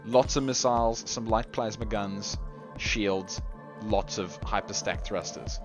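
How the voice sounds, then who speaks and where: A man giving chatty live commentary on a game tournament, his voice going hoarse. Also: lowish voice, measured pace. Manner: chatty, rambling, lots of restarts and laughs. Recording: dull lo-fi recording with faint music.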